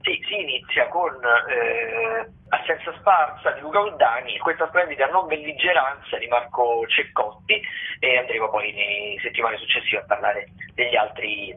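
A man presenting a semi-improvised rundown of listings on the radio, in a halting delivery with drawn-out words. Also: fairly high pitch. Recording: thin phone-like band-limited radio sound.

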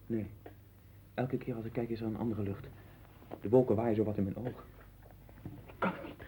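A man's voice: on the low side, fairly even intonation, moderate pace, mellow, lightly acted. An actor playing an anxious, obsessive man who rambles and broods in a 1960s Dutch radio play. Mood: muttering, troubled. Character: anxious, obsessive man sinking into his own strange world. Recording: tinny, band-limited 1960s radio recording with hiss.

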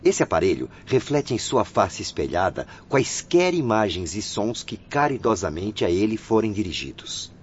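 An actor playing a solemn, patient spirit guide in a Brazilian radio drama, with a formal, didactic delivery. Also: male voice, on the low side, big pitch swings, normal speed, few pauses, a little hiss or hum. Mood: instructive. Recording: dull lo-fi radio drama audio with music.

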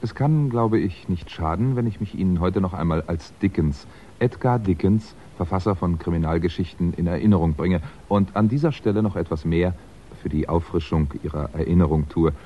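An actor playing a wry, articulate crime writer who speaks in a measured, precise way in a 1960s German radio play. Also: male voice, sits low, slight background noise, close to an everyday voice. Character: wry, articulate male crime writer narrating his own predicament. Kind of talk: 1960s German radio drama, first-person narration. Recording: muffled 1960s radio recording.

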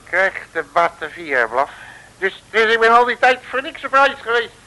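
Stubborn radio-serial detective, lively swooping intonation, sharp bright tone, slangy grumbling, exclamatory. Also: male voice, sits high, normal speed, full character voice, low-quality recording. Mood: indignant. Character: gruff grumbling male detective, blunt and stubborn. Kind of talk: 1950s radio serial acting.

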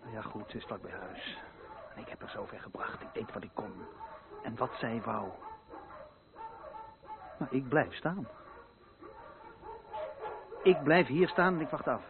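A man's voice: mid-range voice, measured pace, pauses often, lightly acted. Actor doing down-to-earth stubborn guy, medium voice, tinny radio drama. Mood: resigned, watchful. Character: sceptical, down-to-earth man, stubbornly loyal. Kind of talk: Dutch radio drama acting, interior monologue. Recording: tinny band-limited old radio play recording.

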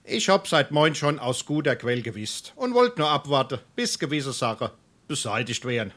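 An actor playing a sly, good-natured butcher with a Darmstadt Hessian accent, wry and matter-of-fact in a dialect stage comedy. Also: male voice, mid-range voice, sing-song, moderate pace, fairly bright tone. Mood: conciliatory.

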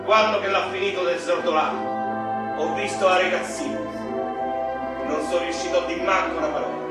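A man's voice: high voice, fairly even intonation, normal speed, natural voice. A man playing a volatile, foul-mouthed young Roman father who deals drugs, in a colloquial theatrical radio monologue with a Roman Italian accent. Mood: subdued, shaken. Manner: colloquial, crude, emotionally swinging. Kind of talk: theatrical radio drama monologue in slangy dialect. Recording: roomy, distant stage sound with loud music.

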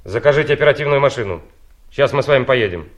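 An actor playing a self-important district prosecutor, a boss in an audio play. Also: male voice, mid-range voice, expressive, rapid-fire, close to an everyday voice. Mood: dry, bossy. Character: self-important district prosecutor, sarcastic with staff, deferential to superiors.

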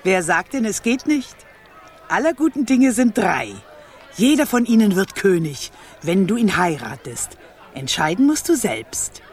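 A woman's voice: medium pitch, sing-song, measured pace, a faint crowd. An actress playing a bossy, scheming old witch of a royal grandmother in a radio play, imperious and scolding. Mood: commanding. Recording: long reverberant hall.

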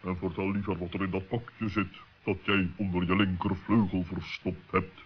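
A man's voice: low voice, little pitch movement, normal speed, husky. A man playing a pompous, greedy, easily offended owl who talks in wordy, hemming phrases in a children's radio play. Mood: smug. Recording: dull old radio play recording.

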